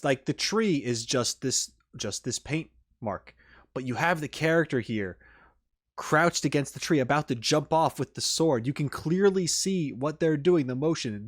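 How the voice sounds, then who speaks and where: A man giving casual, unscripted reaction commentary as a video host, rambling informally with emphatic enthusiasm. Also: medium pitch.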